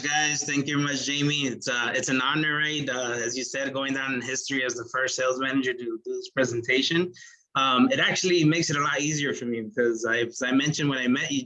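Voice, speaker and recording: A man's voice: medium pitch, pushed and bright, low-quality recording. A man as a sales manager giving an earnest motivational business presentation on a video call, with many fillers.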